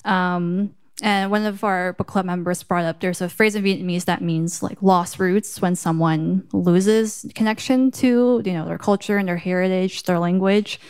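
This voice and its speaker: A woman's voice: on the low side, steady tone, normal speed, few pauses, a little mellow, clean tone. A young woman from the US Midwest founded a book club and is speaking in a panel conversation on stage at a book festival. She talks thoughtfully and hesitantly, with many fillers.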